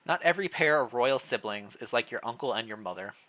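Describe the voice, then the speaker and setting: A man reads as a royal chamberlain woman from a storybook, formal and secretive. The delivery is measured and calm, with a bright edge to the voice. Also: mid-range voice. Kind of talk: storybook character reading.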